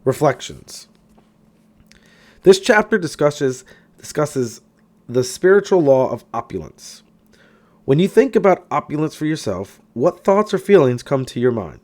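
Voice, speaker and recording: A man's voice: medium pitch, a little mellow. A man reading a self-help audiobook in an emphatic way, now and then stumbling over a word. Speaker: audiobook reader. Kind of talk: scripted audiobook narration of a self-help text.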